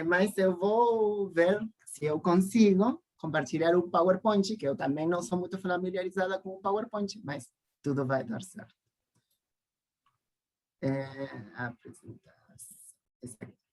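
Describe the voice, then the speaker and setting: A speaker from Argentina with a Spanish accent giving an informal academic talk on a video call, halting and repeating words. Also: mid-range voice, a little mellow. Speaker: guest lecturer, academic and activist. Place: online Zoom call.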